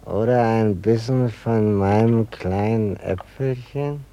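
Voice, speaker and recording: Creepy dream suitor, slow and coaxing, really dark mellow voice. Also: male voice, fairly low pitch. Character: leering man courting a woman in a dream. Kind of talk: radio play acting.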